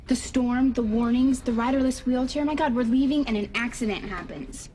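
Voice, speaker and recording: A woman's voice: a little high, moderate pace, mellow, slight background noise, lightly acted. An American actress playing a headstrong young woman, pleading and insistent, in a soap opera style. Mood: urgent, insistent. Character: headstrong young woman set on defending her great-grandmother's honor. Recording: dramatic music behind the dialogue.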